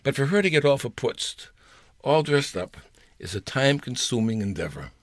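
A man's voice: mid-range voice, sing-song, normal speed, no room sound, clean studio sound. An older man reading his own humorous personal story aloud in a wry, storytelling manner. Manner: wry storyteller with playful voices.

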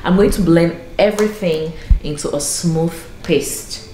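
A woman's voice: on the low side, unhurried. A woman from Cameroon in her thirties hosting a cooking tutorial in her home kitchen, eagerly explaining each step as she cooks. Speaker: cooking show host. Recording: echoey kitchen with faint music.

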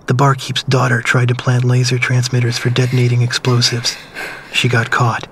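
An actor playing a man who somberly recalls his wartime childhood in measured, reflective story narration. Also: medium pitch, monotone, noisy background, close to an everyday voice. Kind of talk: scripted first-person story narration.

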